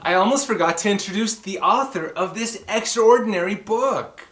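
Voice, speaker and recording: A man's voice: high-pitched, sharp tone. A man presenting and reading children's books as a story-time host, with a theatrical, exclamatory manner that speaks straight to young listeners. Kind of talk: animated children's book presenting and read-aloud. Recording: roomy echoing room sound.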